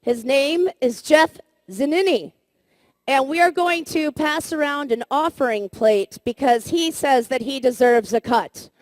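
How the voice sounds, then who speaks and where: A woman's voice: high voice, unhurried, no echo. A woman giving a live stage introduction for a convention panel.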